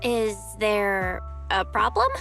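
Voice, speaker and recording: An actress voicing a friendly, perceptive young female manager in a video game, in a casual, gently probing way. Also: medium pitch, fairly even intonation, takes it slowly, creaky. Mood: curious, suspicious. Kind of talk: video game character dialogue. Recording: music under the voice.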